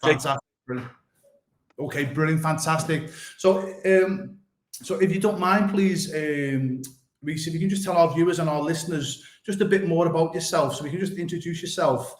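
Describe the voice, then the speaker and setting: A man's voice: fairly high pitch, normal speed, reverberant. A man hosting a live podcast interview, effusive and full of fillers like "obviously" and "brilliant". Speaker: podcast host.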